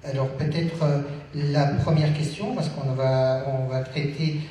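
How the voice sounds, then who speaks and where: A man moderating a stage debate, introducing the guests in a halting way with many fillers. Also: on the high side, steady tone, dark tone, distant mic, slight background noise. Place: theatre stage. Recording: echoey hall, picked up from afar.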